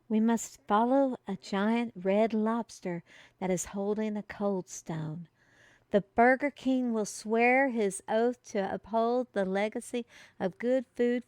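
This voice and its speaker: A woman's voice: fairly high pitch, darkish tone, no room sound. A woman playing an earnest, easily offended messenger who reads out a prophecy in a theatrical, solemn fantasy audio roleplay. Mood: solemn reading. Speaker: voice actor in solo audio roleplay. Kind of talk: theatrical one-sided audio roleplay for a listener.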